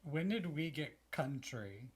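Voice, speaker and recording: American podcast co-host, medium pitch. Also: expressive, takes it slowly, slightly rough. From Texas, United States. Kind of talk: casual chat.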